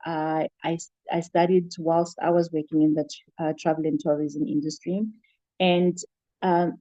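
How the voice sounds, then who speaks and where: A middle-aged woman with a Zimbabwean accent, giving reflective, halting answers as an interview guest. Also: low-pitched, warm tone, dry recording, lo-fi sound. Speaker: interview guest, child protection worker.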